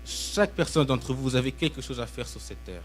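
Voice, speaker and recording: A man's voice: medium pitch, animated, fairly quick, a little hiss or hum. A young man from Belgium preaching live in church, in short emphatic phrases that leave room for an interpreter. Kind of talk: live sermon with pauses for interpretation. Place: church, speaking to a congregation. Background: musical instrument playing.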